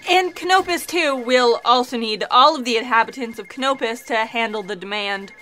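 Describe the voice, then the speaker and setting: Ditzy eager fact checker gal, really high sharp voice, hesitant, sci fi comedy audio. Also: female voice, moderate pace, soft background music. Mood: eager, flustered. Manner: hesitant, flustered, eager to please. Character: eager, scatterbrained female fact-checker in cosmic office. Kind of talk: comic sci-fi audio theatre acting.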